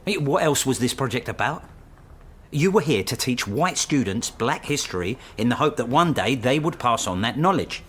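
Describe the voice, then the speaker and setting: A man's voice: mid-range voice, lively intonation, moderate pace, fairly bright tone, a little hiss or hum, close to an everyday voice. A man acting in a radio drama as a pragmatic senior academic colleague, pressing his point in a blunt, urgent, matter-of-fact way. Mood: grave, blunt.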